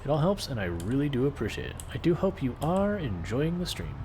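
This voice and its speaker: A man streaming a game live, talking through his play in a calm, soothing, laid-back way. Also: mid-range voice, a little fast, slight background noise, low-quality recording. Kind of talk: casual live stream gameplay commentary.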